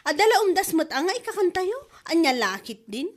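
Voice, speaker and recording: A woman's voice: very high-pitched, big pitch swings, moderate pace. A woman playing a free-spending show-off in a comedy drama, speaking with an Ilocano Filipino accent and exaggerated delivery. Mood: breezy boasting.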